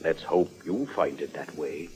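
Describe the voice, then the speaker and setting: An actor playing a gloomy, ominous village pub landlord, slow and folksy, in old radio drama style. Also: male voice, extremely low pitch, over loud music. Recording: dull lo-fi old radio recording.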